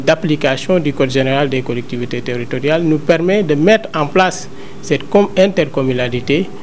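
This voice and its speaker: A man's voice: on the high side, a little mellow, slight background noise. A man with a Senegalese French accent giving a formal statement as a local official, in official phrasing.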